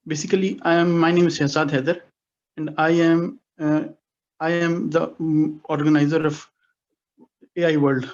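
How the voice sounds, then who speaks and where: Halting, high-voiced man on a video call. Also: level tone, muffled recording. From Pakistan. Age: 40s. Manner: halting, many restarts and self-corrections. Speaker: guest speaker. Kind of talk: personal life story talk.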